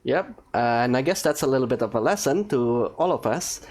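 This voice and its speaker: A man's voice: medium pitch, lively intonation, fairly quick, darkish tone. A man giving casual let's-play commentary as a gaming streamer.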